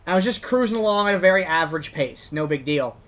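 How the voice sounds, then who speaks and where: A man's voice: lively intonation, fast, a bit bright. A man telling long rambling stories with lots of "like" fillers while he plays a game. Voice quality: describes his own voice as higher than a deep bass beat. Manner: rambling, heavy use of "like" as filler. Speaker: gamer narrating his own playthrough. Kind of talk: casual storytelling commentary while playing.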